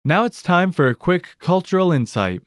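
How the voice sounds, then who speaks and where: Man, audiobook lesson narrator, scripted prompts, animated intonation, dry close sound. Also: sits high, moderate pace, no echo. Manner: even, announcing prompts in a fixed pattern.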